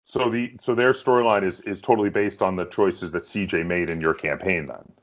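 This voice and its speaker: A man's voice: sits low, fairly even intonation, a little fast, highs cut off. A man hosting an interview, putting questions in a casual conversational style. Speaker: interview host.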